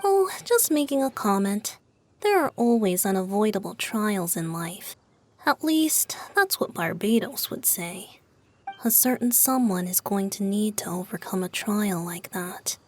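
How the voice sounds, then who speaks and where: A woman's voice: medium pitch, expressive, measured pace. A woman voicing a playful, whimsical boyish wind-god bard in a video game, airy and theatrical. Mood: breezy, playful. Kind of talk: English video game dub. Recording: music behind the voice.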